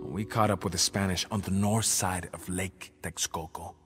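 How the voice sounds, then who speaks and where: A man playing a grave, proud Aztec emperor in dramatic, measured narration for a game cutscene. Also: deep voice, fairly even intonation, close to an everyday voice. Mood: proud, resolute. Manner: solemn, measured, theatrical. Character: grave, proud Aztec emperor recounting his people's war. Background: background music.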